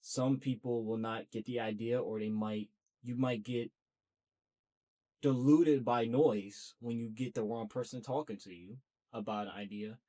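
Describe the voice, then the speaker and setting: Young guy just yapping to the camera, earnest and chatty, normal pitch. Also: fairly even intonation, measured pace, mellow. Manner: talkative, earnest, self-motivating. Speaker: podcast host. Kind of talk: casual solo monologue.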